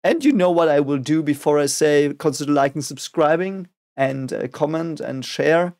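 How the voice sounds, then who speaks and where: Raspy man musing over lyrics. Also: a little high, a little mellow. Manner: musing, halting, repeats words often. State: voice raspy from a cold. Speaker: reaction video host. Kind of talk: casual reaction and lyric analysis.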